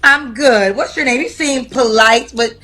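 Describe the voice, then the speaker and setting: A woman's voice: a little high, a little slow, bright, forceful tone. A woman hosting a live dating show, direct and bossy with callers, calling them honey and baby.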